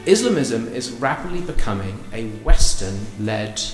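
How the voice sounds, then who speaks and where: A man's voice: mid-range voice, very animated, normal speed. A man making public remarks in an echoey hall. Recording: echoey hall, faint music underneath.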